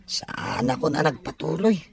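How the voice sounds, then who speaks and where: A teasing, friendly young Filipino student lad acted out by a woman in an audio drama. The pitch is very low for a woman, with a chummy way of talking. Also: lively intonation, unhurried. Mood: amused, curious. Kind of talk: amateur Ilocano audio drama acting.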